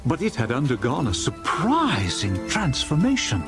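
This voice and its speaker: A man reads a dramatized storybook narration with a theatrical flair. His mid-pitched voice moves in lively, wide swoops as he tells the tale. Music plays clearly behind him. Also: moderate pace. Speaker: audiobook narrator.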